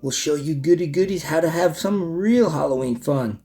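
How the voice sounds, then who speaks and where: A man's voice: on the high side, moderate pace, warm tone, faint music behind, pitched above the performer's own voice, lightly acted. A man voicing a mischievous, goading young bully bear, leader of a gang, in a children's storybook. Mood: taunting. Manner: goading, sly. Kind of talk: children's storybook read-aloud.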